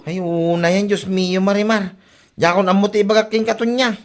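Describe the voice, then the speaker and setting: A woman's voice: medium pitch, steady tone, fairly quick, natural voice. A woman playing an exasperated, scolding husband in an Ilocano comedy drama, with an Ilocano accent. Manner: scolding, exclamatory.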